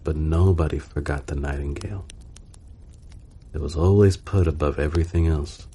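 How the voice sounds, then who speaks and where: A man narrating a bedtime storybook in a calm, soothing and unhurried manner. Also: very deep voice, breathy edge.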